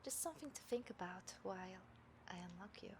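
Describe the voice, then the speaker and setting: A woman playing a taunting, confident serial killer in a scripted audio roleplay, speaking to the listener with smug, deliberate menace. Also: medium pitch, animated, a little mellow, slight background noise, close to an everyday voice. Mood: quiet menace. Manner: smug, menacing, deliberate, dropping into breathy whispers. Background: city ambience sound effects.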